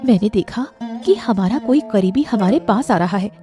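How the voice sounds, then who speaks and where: An anxious, thoughtful storybook queen, acted by a woman. She speaks earnestly in a medium-pitched voice for an audio drama. Music is clearly heard behind her. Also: animated, normal speed, close to an everyday voice. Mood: worried. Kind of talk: Hindi moral-story audio drama acting.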